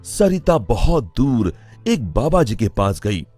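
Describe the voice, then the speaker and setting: A man narrating a scripted animated story in a dramatic storytelling style. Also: medium pitch, slow pace. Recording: music under the voice.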